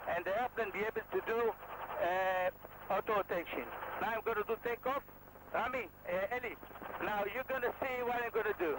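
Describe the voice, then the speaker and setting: An Israeli man talks a student through helicopter checks in halting, step-by-step English, his voice pushed very high. A heavy engine roar fills the recording behind him. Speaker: helicopter pilot instructor. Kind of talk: explaining cockpit checks. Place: helicopter cockpit with engine running. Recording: loud engine noise behind voice.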